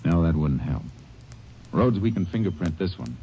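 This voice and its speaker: An actor playing a calm, dogged male Texas Ranger who asks clipped, low-key questions, in a 1940s radio drama. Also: normal speed, creaky, heavily acted. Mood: steady. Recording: hissy lo-fi vintage radio recording.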